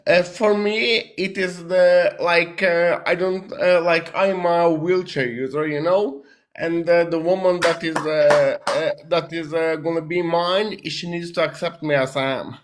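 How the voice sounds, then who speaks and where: A Croatian man in his thirties calls into a dating show over a video call. He speaks English as a second language, in a voice a touch high for a man. He is earnest but halting, filling his pauses with "uh" and restarting his sentences. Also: fairly even intonation. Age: 30s. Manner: hesitant, many uh and restarts, earnest.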